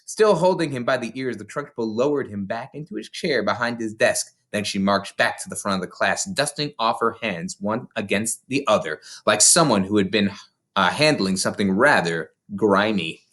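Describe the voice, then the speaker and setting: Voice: medium pitch, animated, moderate pace, fairly bright tone. A reader, narrating a children's novel aloud. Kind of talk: read-aloud storybook narration.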